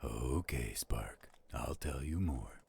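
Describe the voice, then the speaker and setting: A man playing a gruff but gentle, fatherly werewolf in an intimate audio roleplay, with a deep, gravelly, husky voice and an unhurried delivery. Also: lively intonation, slight background noise, full character voice. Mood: gentle, reassuring.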